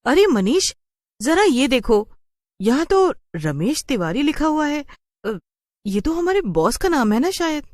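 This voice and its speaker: Lady doing anxious cartoon wife, kinda whiny complaints, pitched up high, very lively. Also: a little slow, no echo, clean studio sound. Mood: surprised. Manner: exclaiming, fretful. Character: anxious, complaining young wife working at a pizza shop. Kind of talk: animated cartoon story voice acting.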